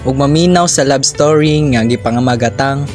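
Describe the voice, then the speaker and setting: A man's voice: medium pitch, normal speed, music in the background. A man with a Filipino accent reading scripted station idents and show intros as a radio announcer.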